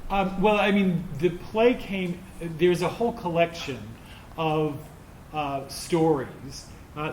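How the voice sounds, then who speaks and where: Mature male, slightly high voice, hesitant informal Q&A delivery, noisy room. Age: middle-aged or older. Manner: halting, searching for words. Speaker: theater director answering audience questions. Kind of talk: informal post-show Q&A. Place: roomy indoor performance space. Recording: echoey room with clear background noise.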